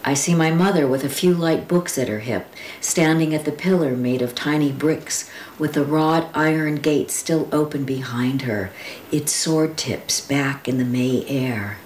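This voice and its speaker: A woman reading poems and quotations aloud with informal commentary, speaking in a reflective, deliberate way with pauses and drawn-out words. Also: low-pitched, darkish tone. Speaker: poetry reader. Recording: roomy echo with clear background noise.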